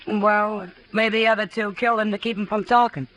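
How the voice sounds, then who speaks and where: Casting: woman playing worldly frontier gal, forceful bright tone, direct and knowing, old radio western with faint noise. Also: mid-range voice, little pitch movement, fairly quick, dull audio, close to an everyday voice. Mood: frank. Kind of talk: 1950s radio western acting. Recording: noisy 1950s radio recording.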